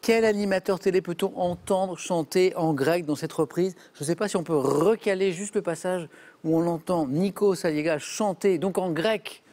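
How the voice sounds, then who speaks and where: A man's voice: high-pitched, moderate pace. A man hosting a TV quiz show, reading questions and joking with contestants in a studio. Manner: lively, jokey, reads questions then banters with contestants.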